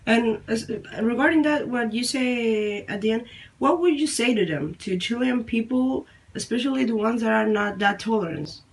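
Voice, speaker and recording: A woman interviewing a teenager, asking measured questions and rephrasing them as she goes. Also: fairly high pitch, animated, gravelly, poor audio.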